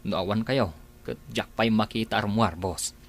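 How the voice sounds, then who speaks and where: A man's voice: on the low side, normal speed, gravelly. A man playing a loyal, deferential farmhand who speaks earnestly to his boss, in a radio drama. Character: loyal farmhand, uncle figure to the boy.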